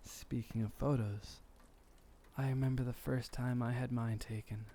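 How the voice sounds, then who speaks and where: A man playing a gentle, melancholy, centuries-old vampire painter in an intimate ASMR roleplay, soft-spoken and musing, with formal old-fashioned phrasing. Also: medium pitch, little pitch movement, moderate pace, slight background noise, natural voice. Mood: wistful musing.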